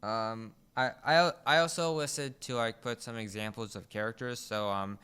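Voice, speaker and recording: Smooth-voiced young man, low-key. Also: steady tone, moderate pace, bright, forceful tone. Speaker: podcast co-host. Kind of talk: casual podcast chat about a video game.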